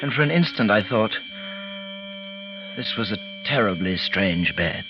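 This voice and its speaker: An actor playing an uneasy man who narrates his own frightening night, deliberate and dramatic, in a 1940s radio drama. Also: lowish voice, expressive. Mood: uneasy, dazed. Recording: dull vintage radio, music behind.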